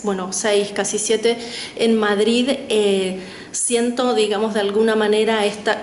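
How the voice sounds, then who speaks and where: A middle-aged woman with an Argentine accent speaks as a panel guest writer, thoughtful, pausing with fillers and tag questions. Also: medium pitch. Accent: Argentine Spanish. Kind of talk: reflective talk at a literary panel. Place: lecture hall. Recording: echoey room with faint noise.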